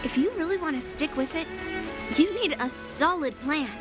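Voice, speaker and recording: A woman's voice: high voice, animated, moderate pace, music in the background. A woman voicing a blunt, confident young female sword master in an anime game, firm and matter-of-fact. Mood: firm, instructive.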